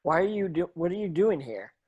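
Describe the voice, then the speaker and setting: Man playing a highish-voiced teenage boy wizard. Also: sing-song, brisk, darkish tone, husky, dull audio, exaggerated voice. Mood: questioning. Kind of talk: amateur online script table read.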